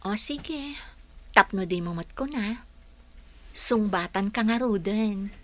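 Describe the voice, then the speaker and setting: A woman's voice: medium pitch, animated, slow pace, fairly bright tone. A woman acting in an Ilocano radio drama as a shy, modest young village woman who is being courted. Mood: tender, hesitant.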